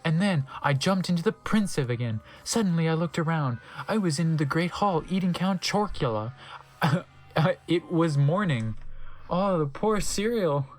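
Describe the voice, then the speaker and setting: A man's voice: a little high, expressive, moderate pace. A man narrating a comedic dramatic reading in a theatrical, mocking way with wry asides.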